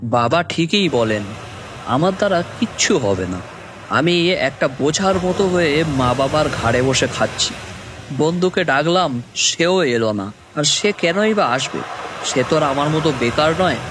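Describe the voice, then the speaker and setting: A man's voice: a little high, expressive, measured pace, background noise. A man playing a dejected, jobless young son who narrates his own cartoon story. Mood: despairing. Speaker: narrator and lead character. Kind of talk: first-person dramatic story narration. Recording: music bed under voice.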